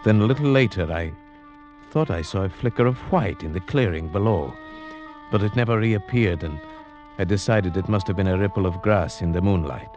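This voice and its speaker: A very low-pitched male hunter played by an actor recounts his dread in measured, formal phrases. It is vintage radio horror, with prominent music under the suspenseful narration. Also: darkish tone, highs cut off, natural voice. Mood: brooding suspense. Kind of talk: old-time radio horror drama narration and acting.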